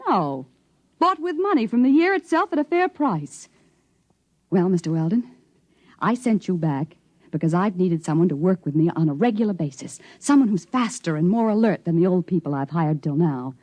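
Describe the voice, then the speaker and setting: A woman's voice: fairly low pitch, very animated, normal speed, a little mellow, dry recording. An actress playing an ambitious, businesslike woman scientist with a formal, articulate manner, in 1950s radio drama style. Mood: matter-of-fact, persuasive.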